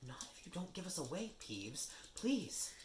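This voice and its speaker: A man doing a hushed anxious schoolboy. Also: sits high, sing-song, unhurried, pitched above the performer's own voice. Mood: pleading. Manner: hushed, pleading. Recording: roomy with faint hiss.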